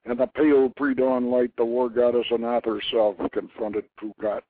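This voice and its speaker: A man narrating an ancient legend as a storyteller, with theatrical, grandiose and deliberate phrasing. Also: mid-range voice, flat delivery, dry recording. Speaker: storyteller, host reading his own novelette. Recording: very dry internet radio, narrow phone-like band.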